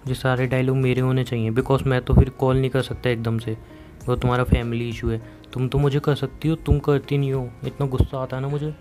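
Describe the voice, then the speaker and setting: Young male, Indian accent, soft dark tone, intimate phone chat, probing, light music behind. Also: medium pitch, flat delivery, brisk. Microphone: phone call. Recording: phone call recording, faint music.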